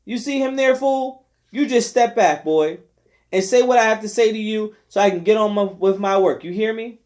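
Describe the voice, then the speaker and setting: A man's voice: high-pitched, moderate pace, a little mellow, voice pushed up, natural voice. A man reading an audiobook, voicing a bossy, impatient housewife who scolds and hollers. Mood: scolding, hollering. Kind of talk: audiobook character voice.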